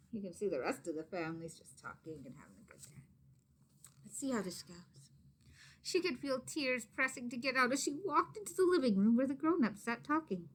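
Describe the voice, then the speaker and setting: Animated woman reading a children's story. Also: medium pitch. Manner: dramatic emphasis, hushed asides. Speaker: teacher or reader of a children's story. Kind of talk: expressive children's read-aloud with questions to listeners.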